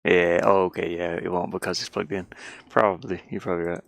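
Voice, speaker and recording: A man's voice: sits low, flat delivery, a little fast, vocal fry, a bit bright, no echo. A man giving casual think-aloud commentary as he works on a game, rambling and muttering to himself with repeated words. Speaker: game developer streaming his work.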